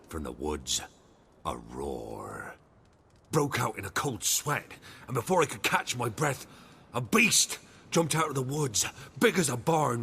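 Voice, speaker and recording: An actor playing a humble, fearful peasant in a video game, earnest and deferential, telling things in rustic dialect. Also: male voice, medium pitch, gravelly, fairly bright tone, slightly rough, heavily acted. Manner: rustic dialect grammar, deferential, dramatic storytelling.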